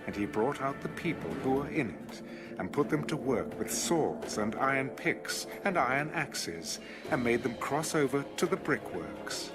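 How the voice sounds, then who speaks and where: A man's voice: medium pitch, very animated, unhurried, over loud music. A man giving a dramatic scripted narration of scripture for an audio Bible.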